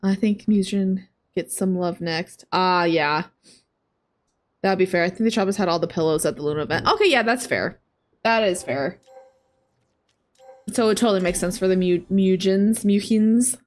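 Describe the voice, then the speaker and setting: A young woman in her twenties chatting casually while she plays a game on stream, thinking aloud through a headset mic, her voice a bit raspy today. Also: mid-range voice, normal speed. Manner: chatty, thinking aloud, soft asides to chat. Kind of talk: casual gameplay commentary.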